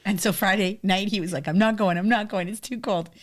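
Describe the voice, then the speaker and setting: A woman's voice: mid-range voice, steady tone, fairly quick. A middle-aged woman with a Canadian accent, chatting casually as a podcast co-host. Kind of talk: casual chat.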